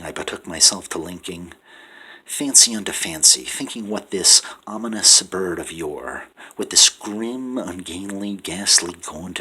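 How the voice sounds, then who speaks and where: A deep-voiced man reads a poem aloud in a husky, breathy tone. His delivery is hushed and dramatic, sinking to whispers and then swelling with intensity. The voice has a bright, forceful edge despite its low pitch. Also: rough recording. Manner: hushed, often whispering, swelling to loud pleading. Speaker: poetry reader. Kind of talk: dramatic poetry recitation.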